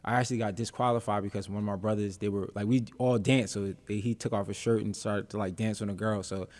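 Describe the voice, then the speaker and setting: Laid-back young man, lowish voice. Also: fairly even intonation, quick pace, a little mellow. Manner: laid-back, easygoing, many fillers like um and uh. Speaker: rapper, interview guest. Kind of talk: casual interview chat.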